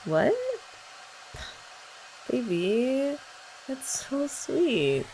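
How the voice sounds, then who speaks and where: A woman's voice: on the high side, sing-song, drawn-out words, a little mellow, a little hiss or hum, low-quality recording. A young woman in an ASMR girlfriend roleplay, chatting in a playful, teasing way.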